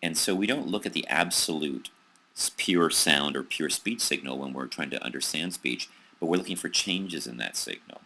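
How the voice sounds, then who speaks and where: A man with an American accent giving a recorded psychology lecture over slides, pausing often with ums and restarts. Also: extremely low pitch, bright, forceful tone, husky. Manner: hesitant, many ums and restarts.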